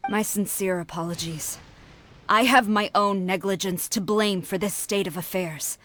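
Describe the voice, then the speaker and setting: A woman's voice: mid-range voice, moderate pace, a bit bright, a little hiss or hum, close to an everyday voice. A woman playing a stern, dutiful, proud military commander in a video game, speaking formally and with authority. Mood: apologetic.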